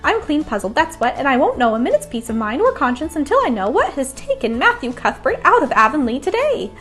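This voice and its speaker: Woman acting a nosy, high-voiced country housewife. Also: big pitch swings, a little fast, a bit bright, soft background music. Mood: puzzled, insistent. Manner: emphatic, chatty, folksy. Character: nosy, opinionated middle-aged country housewife, puzzled and judging.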